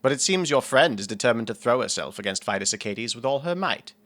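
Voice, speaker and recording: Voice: medium pitch, lively intonation, fast, fairly bright tone, natural voice. An actor playing a sardonic, flirtatious demon in an audio drama, with arch, teasing and formal phrasing. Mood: wry, concerned. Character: sardonic, flirtatious, theatrical demon lover, worldly and weary.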